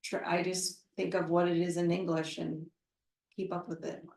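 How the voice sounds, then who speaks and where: A woman translator answering questions at a live book talk, thoughtful and halting, with many fillers and restarts. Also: lowish voice, flat delivery, vocal fry, a little mellow. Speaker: literary translator, interview guest. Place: event room at a literary center. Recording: roomy echo, voice from far off the mic.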